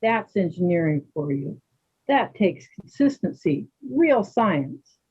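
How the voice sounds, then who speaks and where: A woman playing a calm, dignified older yoga teacher in readers theater, chatting and gently probing with questions. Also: lowish voice, takes it slowly, slightly rough, full character voice. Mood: musing. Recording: thin phone-like band-limited sound.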